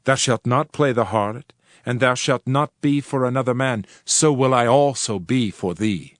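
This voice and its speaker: A man playing a solemn Old Testament prophet, reciting scripture in a measured, declamatory way. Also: medium pitch, no room sound, studio quality, close to an everyday voice. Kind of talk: dramatized King James scripture narration. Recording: music under the voice. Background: music.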